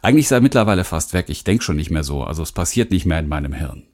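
A man's voice: extremely low pitch, lively intonation, racing, a little mellow. A middle-aged man from western Germany narrating his self-help audiobook as a speaking coach, candid and emphatic, talking straight to the listener. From Rhineland, western Germany.

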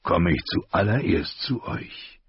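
A man voicing a grave, patient noble lord who speaks low, slow and measured. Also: lively intonation, slightly rough, no echo, exaggerated voice. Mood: stern. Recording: dull lo-fi recording.

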